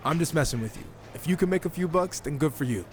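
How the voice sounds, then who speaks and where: A man's voice: fairly high pitch, lively intonation, fast talker, close to an everyday voice. Man playing a cocky new wrestling recruit, noisy background. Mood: friendly, teasing. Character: cocky, self-assured male indie wrestler turned new recruit. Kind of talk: video game cutscene acting.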